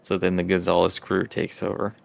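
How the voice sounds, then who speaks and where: A man with a low voice and noticeable vocal fry hosts a tabletop game as game master. He talks in a casual, conversational way with the group. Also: completely flat delivery, fairly quick.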